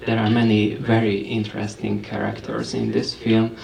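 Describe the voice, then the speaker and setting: A man, a film critic, asking the filmmakers measured, hesitant questions at a festival. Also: fairly low pitch, monotone, vocal fry, a little mellow. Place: festival hall with audience. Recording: distant mic in a crowded hall.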